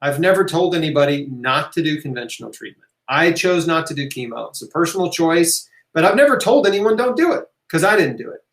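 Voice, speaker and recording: High-voiced man, emphatic and encouraging. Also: animated, a little fast, hardly any pauses, fairly bright tone. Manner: emphatic, encouraging, repeats key phrases; informal, conversational, checks in with "right?" and "okay?". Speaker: host of a live health Q&A. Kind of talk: casual live question-and-answer talk. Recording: roomy, echoing room sound.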